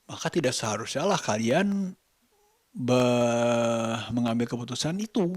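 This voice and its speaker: A man giving his opinions in a casual vlog, speaking deliberately and often searching for words. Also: medium pitch, lively intonation, no room sound. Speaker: vlogger, host.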